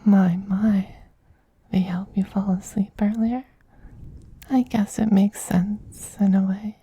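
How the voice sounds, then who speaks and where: Man as a whispering, breathy, doting vampire queen. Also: high voice, flat delivery, warm tone, exaggerated voice. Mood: tender, gently teasing. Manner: slow, tender, coaxing endearments. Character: soothing, possessive, doting femboy vampire queen. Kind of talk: soft-spoken whispered ASMR roleplay.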